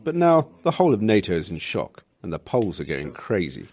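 Deep, animated man giving an opinion. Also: brisk. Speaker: interviewee.

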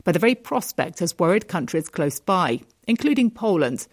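A woman correspondent narrating a scripted news report in a steady, deliberate way. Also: lowish voice. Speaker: foreign correspondent.

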